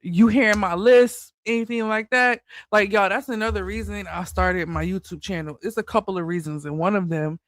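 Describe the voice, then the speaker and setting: Casual male commentator, high-pitched, slight lisp, light stutter, dry mic. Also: steady tone, moderate pace, hardly any pauses, fairly bright tone, no echo. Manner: stutters a little, loose and chatty. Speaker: commentary channel host.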